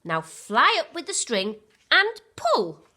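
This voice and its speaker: A woman voicing a gentle, helpful old male teddy bear in a children's storybook. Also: high-pitched, huge pitch swings, slow pace, voice pushed up, heavily acted.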